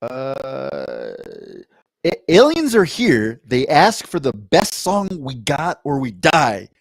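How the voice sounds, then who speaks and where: A man hosts a podcast, rambling upbeat and catching himself mid-sentence as he talks and reads posts aloud. His pitch is ordinary middle. The sound is dry and close to the mic. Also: huge pitch swings, takes it slowly, no echo. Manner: rambling and self-correcting, upbeat. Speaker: podcast co-host. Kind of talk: casual chat, reading posts aloud.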